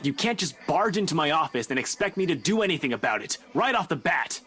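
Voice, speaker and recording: An actor playing a brusque, dismissive young police officer in a horror video game. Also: male voice, fairly high pitch, big pitch swings, fast talker, sharp tone, a little hiss or hum. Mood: irritated. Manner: curt, dismissive, exasperated.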